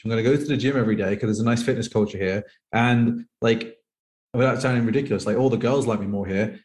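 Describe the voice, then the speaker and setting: Fast-talking man from the UK, thirties. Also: medium pitch, darkish tone. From United Kingdom. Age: 30s. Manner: rambling, heavy use of "like". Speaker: professional poker player guest. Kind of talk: casual interview chat. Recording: echoey room.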